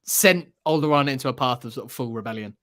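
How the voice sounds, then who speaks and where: A man's voice: mid-range voice, quick pace, a bit bright. A man co-hosting a podcast, chatting casually with the enthusiasm of a film fan. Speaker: podcast co-host. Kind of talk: casual chat.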